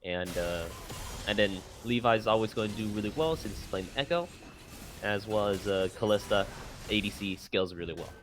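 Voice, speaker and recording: A man giving analytic commentary on an esports match, rambling with filler pauses; Vietnamese was his first language. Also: fairly low pitch, fairly bright tone, soft background music. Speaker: esports color caster.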